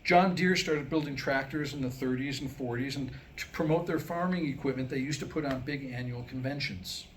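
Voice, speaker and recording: A mature man delivering a scripted comic talk to a live audience, telling stories and jokes with deliberate pauses. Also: medium pitch, slight background noise, poor audio. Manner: storytelling, deliberate pauses, acting out dialogue in jokes. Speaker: humorist and author. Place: indoor room, live staged reading.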